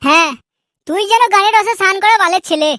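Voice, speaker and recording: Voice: extremely high pitch, quick pace, pushed and bright, dry recording, clean studio sound. A performer voicing a quarrelsome, mocking cartoon character in a Bengali comedy dub, with crude scolding delivery. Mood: argumentative.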